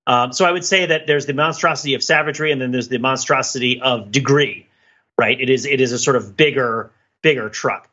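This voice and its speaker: A middle-aged man talks through ideas on a podcast in a wordy, mock-academic way. His voice is bright and forceful with a husky edge, at an ordinary pitch. The recording is a little dull and lo-fi. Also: a little fast. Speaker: podcast panelist. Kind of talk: casual analytical podcast discussion.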